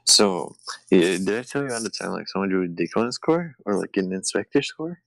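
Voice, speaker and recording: A young man with a low, gravelly voice talks loosely on a call. He rambles, swears and jokes his way through crude banter. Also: animated, a little fast, fairly bright tone, dry recording, low-quality recording. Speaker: podcast host. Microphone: remote call over shaky wi-fi.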